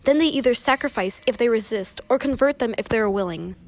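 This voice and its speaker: A woman's voice: fairly high pitch, fairly quick, natural voice. A young woman playing a blunt, grimly informed prisoner in an amateur radio drama. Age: young adult.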